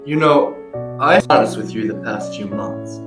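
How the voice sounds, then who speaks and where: A woman's voice: very deep voice, moderate pace, poor audio. A woman acting a troubled, hesitant young bridegroom in an amateur radio play. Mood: anxious. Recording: guitar music behind the voice.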